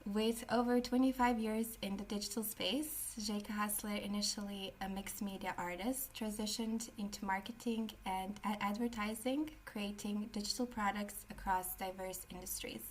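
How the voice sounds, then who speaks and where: A woman's voice: mid-range voice, slight background noise. A woman hosting a podcast, reading a scripted guest introduction with occasional fillers. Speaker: podcast host.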